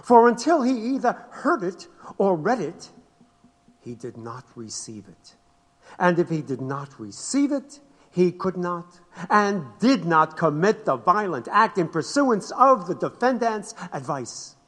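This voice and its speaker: A man plays a solemn defense lawyer orating in an audio drama. The delivery is slow and declamatory, with widely swinging intonation. The voice rings with long hall reverb. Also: sits high, big pitch swings, fairly bright tone, exaggerated voice. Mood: solemn, emphatic. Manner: deliberate, declamatory. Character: solemn, emphatic defense lawyer addressing a court.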